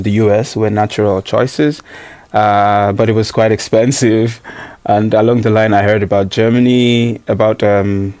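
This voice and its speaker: Guy in his 30s, lowish voice, lots of ums, talks it through slow and friendly. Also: darkish tone. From Nigeria. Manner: thoughtful, many ums and uhs, laughs easily. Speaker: interview guest, student. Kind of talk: casual interview answers.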